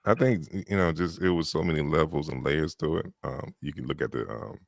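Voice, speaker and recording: Deep-bass male narrator as live-chat guest, relaxed and rambling, dry close recording. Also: fairly quick, vocal fry, dry recording. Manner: loose, rambling, peppered with "you know" and "right".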